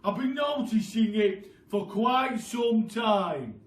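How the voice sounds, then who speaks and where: Mean storybook husband, played by a man reader: loud, slow, hammering taunts, recorded from a distance. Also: high-pitched, animated, pitched above the performer's own voice. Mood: taunting. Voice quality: loud, forceful. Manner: slow, hammering, chanting emphasis. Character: mean, bullying husband, gleefully taunting his wife. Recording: roomy, distant, noisy home-style recording.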